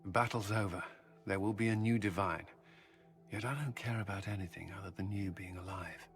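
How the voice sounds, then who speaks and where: An earnest, low-voiced military commander. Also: male voice, a little fast, a bit bright, soft background music. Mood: tender, relieved. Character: devoted soldierly male military commander, earnest and tender. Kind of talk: video game cutscene acting.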